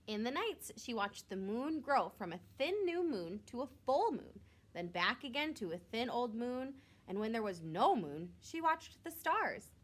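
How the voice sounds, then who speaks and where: A woman's voice: a little high, big pitch swings, a little slow. A woman reading a picture book aloud like a teacher to young children, expressively and with simple asides. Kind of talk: storybook read-aloud with short asides.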